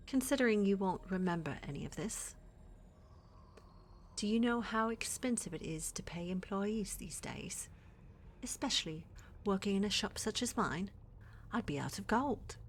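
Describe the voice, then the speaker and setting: A woman playing a flirty, scheming witch in a fantasy ASMR roleplay, with a breathy, teasing, coaxing delivery that often drops to whispers. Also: mid-range voice, a bit bright, slight background noise. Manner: teasing, coaxing, sugary endearments with theatrical menace. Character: flirty, scheming witch who runs a potion shop. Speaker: voice actor in audio roleplay.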